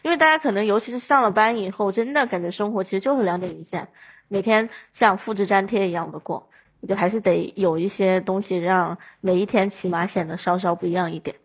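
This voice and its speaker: A woman's voice: mid-range voice, brisk, slightly rough. A woman from southern China chatting casually as a podcast guest, explaining her thoughts with examples in a reflective way. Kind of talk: casual chat.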